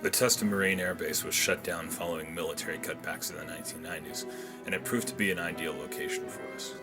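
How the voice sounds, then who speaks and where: A man speaking as a film director, giving measured, earnest behind-the-scenes commentary. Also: deep voice, steady tone, over music.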